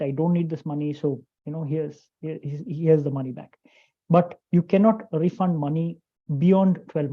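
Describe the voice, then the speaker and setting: A guest on a business webinar over a video call, explaining compliance rules in a measured, careful way. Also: mid-range voice. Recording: dull lo-fi call audio.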